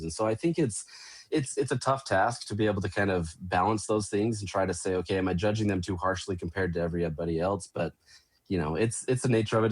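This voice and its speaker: A man speaking as a sportswriter guest in a casual interview, easygoing and full of you-knows. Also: deep voice.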